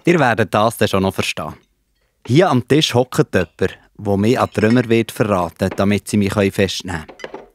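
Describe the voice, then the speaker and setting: Children's audio play: solemn, loving male teacher acted by a man, lowish pitch, earnest, Swiss German accent, slight noise behind. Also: normal speed, close to an everyday voice. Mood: calm, caring.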